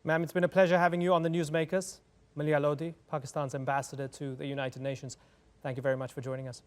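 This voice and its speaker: A man hosting a news programme, interviewing a guest and reading scripted introductions in a crisp anchor manner. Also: a little high, level tone, quick pace. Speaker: news show host.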